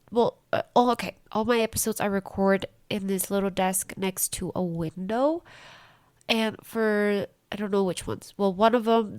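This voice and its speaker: Congested woman chatting on a home podcast. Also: medium pitch. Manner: rambling, chatty, self-interrupting. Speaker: podcast host. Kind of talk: casual diary-style podcast chat. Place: small room at home by a window.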